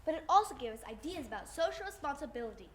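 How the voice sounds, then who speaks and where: A woman's voice: high-pitched, very animated, very fast, slight background noise. A young teenage girl playing a theatrical, earnest co-host in a scripted old-time radio show. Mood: bright, announcing.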